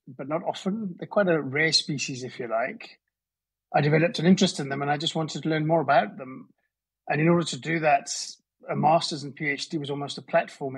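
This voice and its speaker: A middle-aged man with a Southern African English accent answers interview questions in a husky, slightly breathy voice. He speaks thoughtfully and modestly, often pausing to restart a phrase. His pitch sits in the ordinary middle for a man. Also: a bit bright, rough recording. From Zambia and Zimbabwe. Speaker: conservation biologist guest. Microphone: calling in remotely.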